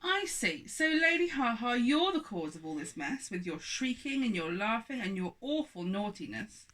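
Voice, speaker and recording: Lady doing a big angry storybook giant dad, loud and pompous, pitched up from her usual. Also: sing-song, normal speed. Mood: suspicious, accusing. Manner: booming, accusatory, pompous. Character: huge thundering angry father giant with quivering moustache.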